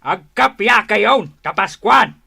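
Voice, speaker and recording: An actress with an Ilocano accent playing an exasperated, scolding peacemaker in a radio comedy drama. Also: female voice, medium pitch, animated, takes it slowly, creaky, slight background noise, heavily acted. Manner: loud, scolding. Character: exasperated peacemaker breaking up a neighbours' brawl.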